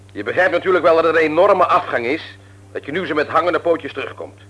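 An actor playing a stern, patronising man in authority in a radio drama, lecturing emphatically in a husky voice. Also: a little high, a bit bright, exaggerated voice, poor audio. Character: stern, patronising older brother and works supervisor, adult man.

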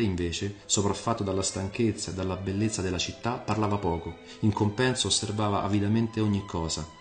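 Low-voiced male storyteller, echoing over music. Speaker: radio storyteller. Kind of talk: scripted literary narration. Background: soft music.

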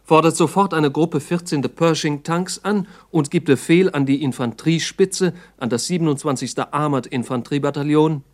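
A man's voice: a little high, normal speed, few pauses. A man narrating a 1960s documentary radio drama in a terse, factual reporting manner.

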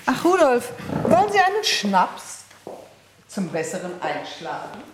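A woman's voice: medium pitch, huge pitch swings, a little slow, distant mic. An actress playing a controlling lady of the house with sly politeness and a mannered, insistent delivery in a German radio play. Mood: sweetly polite. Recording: very echoey room, faint noise.

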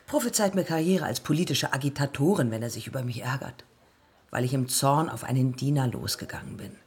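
An actress playing a proud, determined woman of early aviation in a radio play, speaking husky and creaky in terse, deliberate phrases. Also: sits low, darkish tone, heavily acted. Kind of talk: radio play monologue and dialogue.

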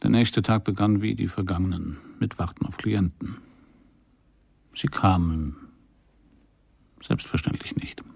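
Wry private eye narrating a radio noir, husky low voice, dry close sound, laconic. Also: male voice, a little mellow, no echo. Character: world-weary, wry male private detective narrating.

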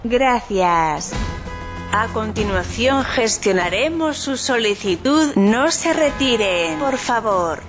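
A woman playing a formal automated announcer in a radio fiction, delivering measured, machine-like bureaucratic messages. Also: on the high side, lively intonation, over music. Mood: impassive, formal.

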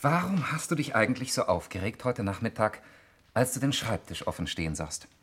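An actor playing a cool, sardonic, probing man in a 1960s German radio play, deliberate and insinuating in manner. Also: lowish voice, sing-song, a bit bright, breathy edge, exaggerated voice. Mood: accusing. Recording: faintly noisy 1960s radio recording.

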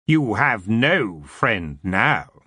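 A man plays a merciless elf lord with open contempt, each word drawn out slow and menacing. His voice swoops up and down as he sneers, and it sounds close and dry. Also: on the low side, big pitch swings, sharp tone, slightly rough, dry recording, exaggerated voice. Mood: cold menace.

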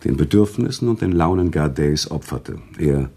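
A sentimental storyteller in a radio play. His low, gravelly voice swings widely between teasing and feeling, with dramatic pauses and emphatic swells. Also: expressive, dark tone, heavily acted. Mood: moved, nostalgic. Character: sentimental, worldly male storyteller, emotional and teasing. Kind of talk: German radio drama acting, conversational storytelling.

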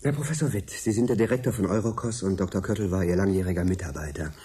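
A man's voice: sits low, fast, dark tone, slight background noise, natural voice. A man acting in a radio drama as a persistent, dryly sceptical freelance science journalist with an articulate, probing way of asking. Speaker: actor.

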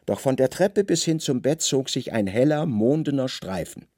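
A man narrating a literary radio play in measured, expressive, weighted phrases. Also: medium pitch, dry recording, clean studio sound. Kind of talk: literary scripted narration, dramatic audio drama delivery.

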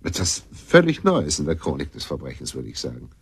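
An actor playing a self-assured, analytical detective who gives brisk orders and measured explanations in a German radio drama. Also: male voice, extremely low pitch, huge pitch swings, slightly rough, dry recording, heavily acted. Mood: coolly analytical.

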